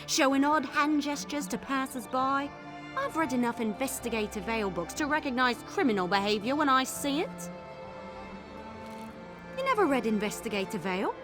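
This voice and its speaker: An actress playing an eager, overconfident young amateur sleuth in a video game, chatty, conspiratorial and excitable. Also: female voice, high-pitched. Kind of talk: video game character acting.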